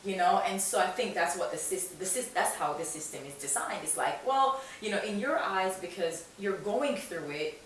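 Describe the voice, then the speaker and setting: A woman's voice: mid-range voice, animated, moderate pace, a bit bright. A woman hosting a conversational podcast interview, speaking in an encouraging, affirming way. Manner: encouraging, affirming, explains with rhetorical questions. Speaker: talk show and podcast host. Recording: echoey, distant mic, faint hiss.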